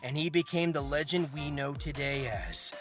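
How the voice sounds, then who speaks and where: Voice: medium pitch, steady tone, normal speed, fairly bright tone, faint music behind. A host giving theatrical, comedic scripted narration about anime, with exaggerated mock outrage and playful asides. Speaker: host of anime video essay.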